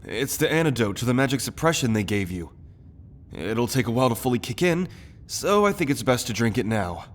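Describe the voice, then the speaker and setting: A man's voice: medium pitch, very animated, brisk, close to an everyday voice. A man playing a loyal, principled young prince in a fantasy audio roleplay, with an earnest, courteous manner. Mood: eager, urgent.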